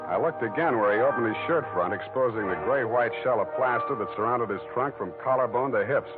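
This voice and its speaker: An actor playing a wry, world-weary American private detective, hard-boiled and clipped, in 1940s radio noir narration and dialogue. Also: male voice, mid-range voice, a little fast, loud music behind the voice, close to an everyday voice. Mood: matter-of-fact, narrating. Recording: thin, narrow-band 1940s radio recording.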